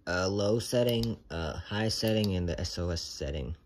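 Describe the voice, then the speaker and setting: A man with a low voice chats as a review co-host. He is laconic and a little halting, adding short, unscripted remarks in a casual way. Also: slight background noise. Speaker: co-host of a product review channel.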